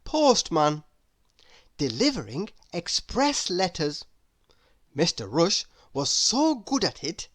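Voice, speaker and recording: A woman reading a children's story aloud in an expressive narrating style. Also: on the low side. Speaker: storybook reader.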